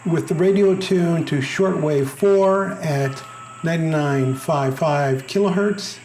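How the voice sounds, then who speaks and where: Man, product reviewer, scripted narration, plain measured delivery, somewhat high voice. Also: a little mellow, a little hiss or hum.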